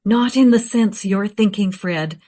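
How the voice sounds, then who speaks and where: A woman playing a director's assistant who gives brisk advice in a radio play. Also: mid-range voice, warm tone, lightly acted. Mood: matter-of-fact.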